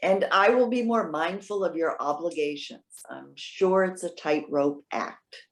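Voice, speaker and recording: A woman's voice: fairly low pitch, unhurried. Woman acting wry, proud elderly woman, roomy echo. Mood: conciliatory. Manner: articulate, wry, pointed. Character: proud, witty elderly woman, retired social worker. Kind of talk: readers theater dialogue.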